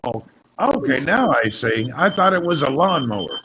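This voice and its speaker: A man's voice: fairly high pitch, big pitch swings, moderate pace. Casual male livestream host, noisy background. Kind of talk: casual group livestream chat. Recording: noisy live stream.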